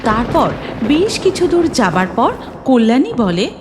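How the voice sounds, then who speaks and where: A woman's voice: a little high, sing-song, unhurried, noisy background. A woman narrating a cartoon story from a script.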